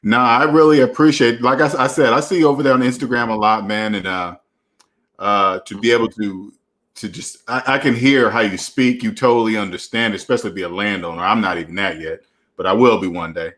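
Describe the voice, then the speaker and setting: A man hosting a casual online panel chat, relaxed and encouraging, using slangy everyday speech. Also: fairly low pitch, a little fast. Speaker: show host.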